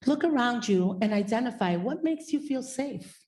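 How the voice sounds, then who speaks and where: A woman leading an audience through guided imagery with a steady run of unhurried questions. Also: fairly high pitch. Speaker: contemplation leader at a summit.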